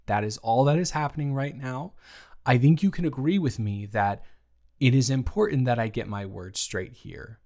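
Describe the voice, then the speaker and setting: A man performing an improvised roleplay audio, speaking slowly and carefully with a soft, reassuring manner. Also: medium pitch. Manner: slow, careful, measured speech with pauses.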